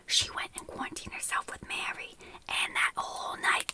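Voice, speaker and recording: A woman whispering close to the mic as an aunt combing out lice, chatty and reassuring, with gossipy asides. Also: poor audio. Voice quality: whispered throughout. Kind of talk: whispered ASMR roleplay.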